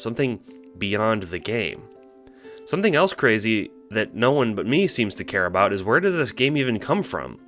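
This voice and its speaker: Meek young troll guy male actor, low voice, nervous halting stammer, earnest audio-drama storytelling over music. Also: fairly bright tone. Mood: unsure, wistful. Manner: hesitant stammer, halting and apologetic. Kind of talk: audio drama monologue, recounting adventures as a log.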